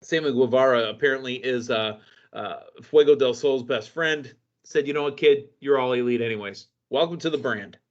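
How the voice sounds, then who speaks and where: A man's voice: mid-range voice, normal speed. A man hosting a wrestling podcast, recapping matches in casual, upbeat chat. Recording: dull lo-fi audio.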